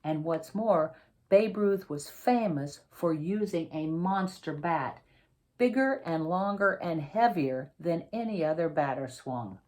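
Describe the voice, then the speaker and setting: Female read-aloud storyteller for a kids' picture book: low voice, unhurried pace, dramatic emphasis. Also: lively intonation, darkish tone. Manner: theatrical, emphatic, drawn-out suspenseful phrasing. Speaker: children's author reading own picture book aloud.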